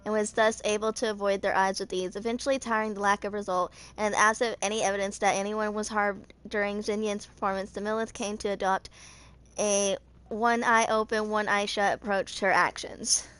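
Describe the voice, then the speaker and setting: A woman in her twenties reads video game character stories aloud for her channel. Her voice sits at a medium pitch. She stumbles on words, goes back over them, and slips into casual asides. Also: little pitch movement, fairly quick, fairly bright tone. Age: 20s. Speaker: gaming channel host.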